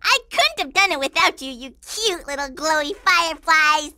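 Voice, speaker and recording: A woman voicing a cute, sweet-natured little girl in a cartoon video game, squeaky and childlike, with a singsong, gushing manner. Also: expressive, normal speed, pushed and bright, no room sound, heavily acted. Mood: sweet, cheerful.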